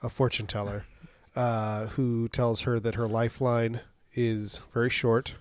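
A man's voice: medium pitch, unhurried, dry recording. A man co-hosting a podcast with a chest cold, recapping a movie in a joking, chatty way. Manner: joking, lively recaps with acted-out quotes. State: congested, slightly wheezy. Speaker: podcast co-host. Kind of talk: casual movie recap chat.

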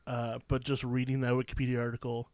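A man in relaxed podcast chat, his voice nasal and stuffed up. He talks with dry, joking asides, and the sound is close and dry, with no room echo. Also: mid-range voice, fast. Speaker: podcast co-host. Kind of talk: casual chat. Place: cold basement.

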